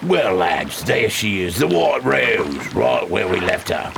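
Very gravelly salty sailor. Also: male voice, fairly low pitch, sing-song, slow pace, noisy background, heavily acted. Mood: reporting. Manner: salty, colloquial seaman's talk. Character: rough, grumbling male pirate-hunting sailor. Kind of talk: pirate radio drama acting.

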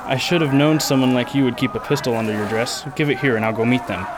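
Actor as a rapid, commanding take-charge man. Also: mid-range voice, heavy background noise, lightly acted. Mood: urgent. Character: terse, principled, take-charge male ship passenger. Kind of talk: radio drama acting.

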